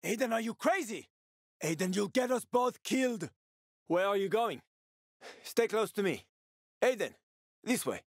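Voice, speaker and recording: A man's voice: high-pitched, lively intonation, a little slow, long pauses, no echo, studio quality, heavily acted. A male actor voicing a wry, streetwise survivor guide and ex-soldier in a video game, with colloquial, foul-mouthed talk. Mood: urgent, alarmed. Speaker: video game companion character.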